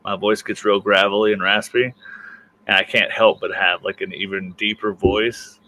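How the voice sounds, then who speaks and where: A man's voice: fairly low pitch, flat delivery, moderate pace, bright, forceful tone, poor audio. Guy hosting a laid back panel chat, kinda teasing, bit of noise behind him. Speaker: host of a livestream panel. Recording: faint background noise on livestream audio.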